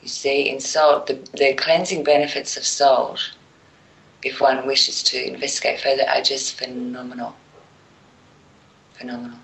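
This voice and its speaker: A woman's voice: very deep voice, little pitch movement, moderate pace, gravelly, long pauses, a bit bright, poor audio. A woman speaking as a guest in a casual radio chat. She makes her points emphatically, then trails off into near whispers. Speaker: show guest.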